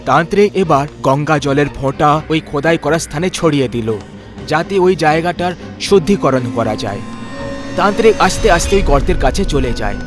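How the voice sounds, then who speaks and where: A man's voice: a little high, lively intonation, normal speed, over music. A man narrating a scripted horror story as its storyteller.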